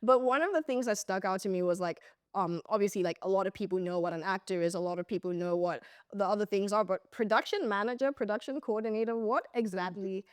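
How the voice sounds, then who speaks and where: A woman's voice: mid-range voice, fast, few pauses, no room sound. Laid-back young woman co-host, dry sound. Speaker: podcast co-host. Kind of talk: casual podcast chat.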